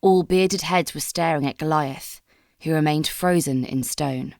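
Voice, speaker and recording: Low-voiced woman narrating dramatic fiction. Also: unhurried, a little mellow, no echo, clean studio sound. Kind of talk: scripted dramatic story narration.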